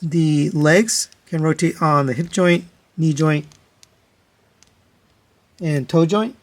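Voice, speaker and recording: Male product reviewer, voice a bit on the high side, matter-of-fact and conversational, walking through comparisons step by step. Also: takes it slowly. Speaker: toy reviewer, host.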